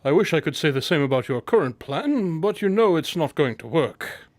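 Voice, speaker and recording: A man's voice: on the high side, expressive, normal speed, a little mellow, no room sound, studio quality. A man playing a self-assured, boastful detective in a radio drama, with a formal, dryly proper manner. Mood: cool, dry.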